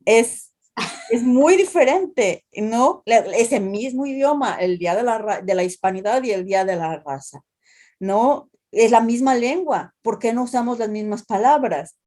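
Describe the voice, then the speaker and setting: Thoughtful Mexican woman, mellow voice. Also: a little high, a little slow. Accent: Mexican Spanish. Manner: thoughtful, many restarts and tag questions. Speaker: translation professor, guest. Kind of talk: academic interview talk.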